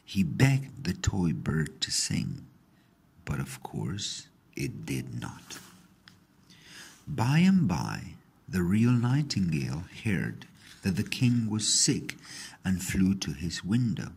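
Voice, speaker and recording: A man narrating a scripted fairy tale in a husky, gravelly voice, with measured, expressive storytelling. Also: deep voice.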